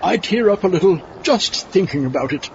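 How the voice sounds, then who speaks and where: A man acts a callous mad scientist for an audio comedy. His voice is on the high side and very animated, fussy and theatrical. The recording is dull with clear background noise. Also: normal speed. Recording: dull, noisy sound.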